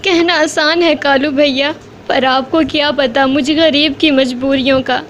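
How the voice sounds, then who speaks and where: Poor mother sparrow, high and pleading, tearful, cartoon voice, faint hiss. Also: steady tone, measured pace, fairly bright tone. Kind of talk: cartoon voice acting.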